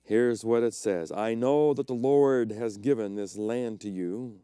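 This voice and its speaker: A middle-aged man preaching a sermon as pastor, telling personal stories and swinging between loud emphatic peaks and quiet, low passages. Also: unhurried, smooth, no echo, clean studio sound. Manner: storytelling preacher, personal anecdotes.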